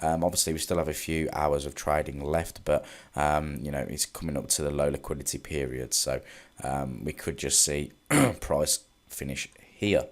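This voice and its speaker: Man with a stuffy head cold, low voice, loose chart recap. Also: normal speed. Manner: relaxed, hesitant, many ums and restarts. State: congested from a cold. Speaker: forex trading analyst. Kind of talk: casual market recap with charts.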